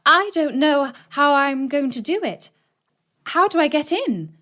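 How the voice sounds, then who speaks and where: A woman's voice: sits high, animated, measured pace, a bit bright, pitched above the performer's own voice. A woman voicing a tender, earnest little girl in a children's fairy tale. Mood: feigned puzzlement. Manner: pleading, earnest exclamations.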